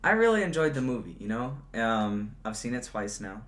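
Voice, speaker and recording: A teenage male podcast co-host at home, rambling with lots of fillers, his voice not deep. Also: big pitch swings, normal speed, fairly bright tone. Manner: rambling, filler-heavy, laughs often. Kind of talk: casual chat.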